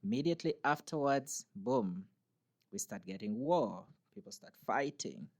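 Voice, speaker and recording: A man's voice: mid-range voice, lively intonation, measured pace. A man from Uganda, a podcast interview guest who talks in a cheerful, explanatory way, recorded in a university podcast studio. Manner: cheerful, explanatory, laughs easily. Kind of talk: casual interview conversation. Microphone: podcast studio mic.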